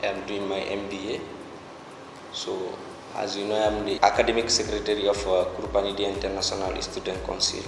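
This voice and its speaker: A man's voice: fairly low pitch, flat delivery, brisk, background noise. A young man from Guinea introducing himself as a student council secretary, hesitant and repeating words. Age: young adult. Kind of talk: self-introduction to camera. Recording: echoey hall, music behind.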